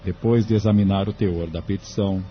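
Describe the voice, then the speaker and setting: A man's voice: deep voice, fairly even intonation, brisk. A man narrating a scripted audio drama. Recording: dull lo-fi audio with music behind.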